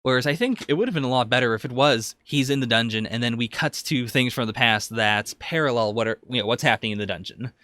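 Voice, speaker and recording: A man's voice: medium pitch, fast talker, hardly any pauses, sharp tone, no echo. A man co-hosting a podcast, casually discussing anime and thinking aloud as he analyses it. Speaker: podcast co-host.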